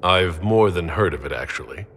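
An actor playing a composed, tactful leader in a video game, speaking in a measured, dry manner. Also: male voice, deep voice, expressive, fairly bright tone. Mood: dry amusement. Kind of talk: dramatic video game acting. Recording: long reverb, ambient noise.

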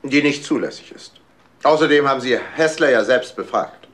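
A man's voice: medium pitch, moderate pace, fairly bright tone, natural voice. An actor playing a domineering older father, a doctor under suspicion, curt and evasive, in a German crime audio play. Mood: defensive. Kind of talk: German radio crime drama acting.

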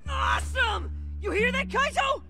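An actor playing an excitable, slangy young punk in a video game. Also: male voice, very high-pitched, measured pace, creaky, exaggerated voice. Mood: excited.

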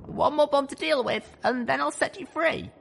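A man's voice: extremely high pitch, normal speed, creaky, noisy background, pitched above the performer's own voice, full character voice. A man voicing a young female pony heroine, weary and determined, in an audiobook story. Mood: weary resolve.

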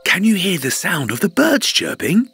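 A cheerful parrot papa. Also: male voice, high voice, big pitch swings, moderate pace, gravelly, heavily acted. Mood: explaining. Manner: gentle, explaining, counting out a march. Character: cheerful green parrot papa, patient and playful. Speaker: father teaching his kids. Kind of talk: children's cartoon voice acting. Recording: studio voice over loud music.

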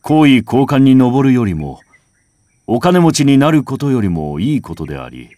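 A man reciting a literary first-person text as a scripted narration, in a measured, contemplative way with dramatic phrasing. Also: medium pitch, sing-song, mellow, no room sound, clean studio sound. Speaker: audiobook reader. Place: home recording.

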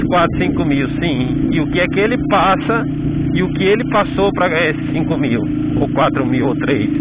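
A Brazilian man talking chattily while riding a motorcycle in the rain, preaching about faith and hard work, often asking "entendeu?"; recorded outdoors on a bike-mounted camera. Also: high-pitched. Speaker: motovlogger. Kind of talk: casual motivational talk while riding. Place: outdoors on a motorcycle on rainy rural and town roads. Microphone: helmet or bike-mounted action camera. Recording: tinny phone-like sound with loud road noise.

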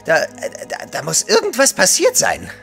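An actor playing a nervous, stammering, obedient manservant in an audio drama. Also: male voice, sits high, huge pitch swings, moderate pace, vocal fry, a bit bright, soft background music, exaggerated voice. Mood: alarmed.

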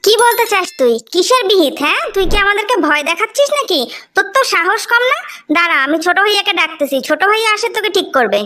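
A very high, piercing female voice with a Bangladeshi accent , acted by a woman, plays a young cartoon sister. She is brash, haughty and spiteful, always ready to scold. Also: expressive, quick pace, pushed and bright, exaggerated voice. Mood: outraged. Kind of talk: amateur cartoon story dubbing.

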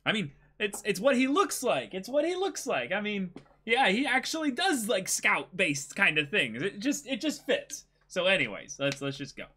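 Animated young man with a bright voice. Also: high-pitched, normal speed, hardly any pauses, slightly rough. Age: 20s. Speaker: co-reader in a dramatic manga reading. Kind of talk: casual commentary and read-aloud. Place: small indoor room, seated.

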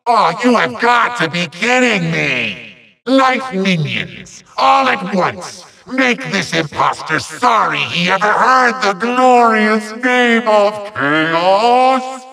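An actor playing a pompous, short-tempered evil sorcerer villain in a video game, with a gravelly, nasal voice and bombastic, sputtering theatrical delivery. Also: male voice, high voice, expressive, slow pace, bright, forceful tone, distant mic, heavily acted, rough recording. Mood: grandiose, furious.

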